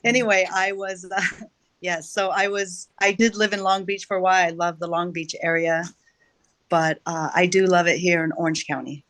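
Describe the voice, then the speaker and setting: A woman's voice: lowish voice, fairly even intonation, moderate pace, a bit bright. A woman guitarist from Southern California, speaking in a wry, self-mocking way. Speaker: lead guitarist. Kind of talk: casual interview.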